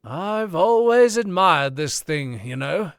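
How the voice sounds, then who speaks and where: A man voicing an urbane author who teases in a slow, lilting way, his pitch rising and falling widely. He is a radio-drama gentleman, polished and wry. The recording is close and dry. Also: big pitch swings, smooth, no room sound, exaggerated voice. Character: suave witty older male author. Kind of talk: old-time radio drama acting.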